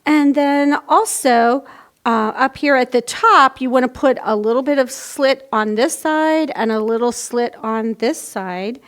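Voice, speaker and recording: A woman with a high voice talks to young children as a storytime presenter. She takes her time, giving simple, step-by-step craft instructions in a casual teaching style. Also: unhurried, fairly bright tone.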